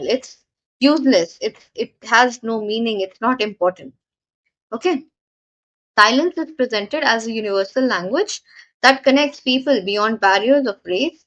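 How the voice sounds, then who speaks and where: Patient woman teacher, higher voice. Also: fairly bright tone, muffled recording. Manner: patient, repeats points, frequent "okay" fillers. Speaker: English teacher. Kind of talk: explaining a poem for exam students.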